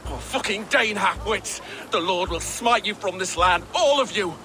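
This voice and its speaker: High-strung captive Saxon soldier. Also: male voice, expressive, normal speed, over music. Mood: defiant, cursing. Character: frightened, pious captive Saxon soldier, defiant then pleading. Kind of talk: video game cutscene acting.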